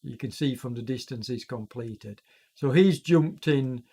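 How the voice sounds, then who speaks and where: A man hosts live commentary on an online cycling race while fighting a chest cold, so his voice sounds congested. His pitch sits in a middle register. He rambles, fills gaps with ums, and corrects himself mid-sentence. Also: unhurried, mellow, husky. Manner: rambling, self-correcting, many fillers. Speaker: e-racing commentator and streamer.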